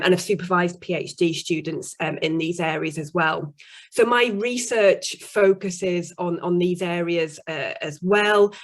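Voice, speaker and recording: A woman who is a university course director, introducing herself at an online webinar with a slightly croaky voice and frequent fillers. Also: medium pitch.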